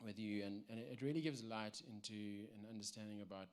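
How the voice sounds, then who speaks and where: A man in his thirties with a South African accent, giving a personal church testimony in a halting way with many ums and restarts. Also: lowish voice, a little mellow. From South Africa, Cape Town.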